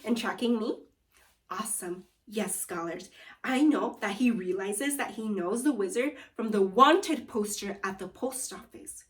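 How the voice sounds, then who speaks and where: A woman teacher reading a children's story aloud and guiding pupils, expressive and instructive with deliberate phrasing. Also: medium pitch, distant mic. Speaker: second grade teacher.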